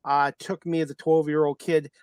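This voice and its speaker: A man in his fifties with a fairly high, clear voice hosts a sports talk podcast. He speaks casually, like an eager fan who appreciates his guest. The sound is close and dry. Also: flat delivery, moderate pace, darkish tone, no room sound. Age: 50s.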